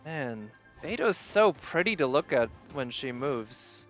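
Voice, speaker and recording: Musing game streamer over loud game music. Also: medium pitch, very animated, takes it slowly. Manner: musing, hesitant, thinking aloud. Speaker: live streamer. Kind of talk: casual gaming stream commentary, chatting with viewers. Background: video game music.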